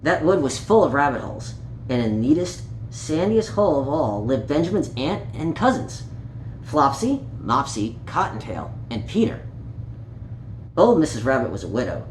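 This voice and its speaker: Someone reading a kids story, super animated n playful, echoey room w a bit of noise. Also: medium pitch, moderate pace. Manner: playful, showing the pictures, stumbles over words while reading.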